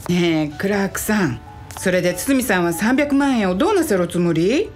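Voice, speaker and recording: A woman's voice: mid-range voice, lively intonation, moderate pace, a little mellow, soft background music, natural voice. A woman playing a composed, genteel company president in a radio drama, polite and ladylike. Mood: inquiring.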